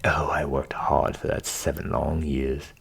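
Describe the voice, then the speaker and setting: A man's voice: rumbling low, little pitch movement, a little slow, creaky, slightly rough, pitched below the performer's own voice, full character voice. A man voicing a naive, cheerful, trusting young man in a storybook, with earnest exclamations. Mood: self-satisfied.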